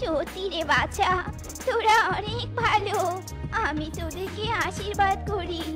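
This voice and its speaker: A woman's voice: very high-pitched, unhurried. A woman voicing a helpless old village woman animal in a cartoon, speaking in a plaintive, imploring, rustic way. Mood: grateful blessing. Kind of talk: cartoon voice acting. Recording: music behind the voice.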